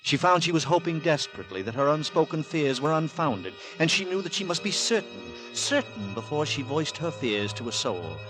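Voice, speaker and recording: An actor narrating a Victorian horror tale in a grave, measured, dramatic 1940s radio suspense style. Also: male voice, a little high, sing-song, poor audio. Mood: brooding, suspenseful. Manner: measured, dramatic, brooding delivery. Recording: vintage 1940s radio recording with music.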